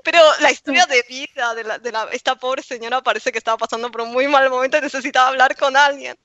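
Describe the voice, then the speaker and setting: A woman with a high, bright, sharp voice recounts a story about a client in a relaxed conversation. She slips into exaggerated imitations of what was said. The sound is close and dry. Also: fast, no echo, lo-fi sound. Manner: expressive, quotes people with exaggerated voices. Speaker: project manager. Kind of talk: casual chat, storytelling.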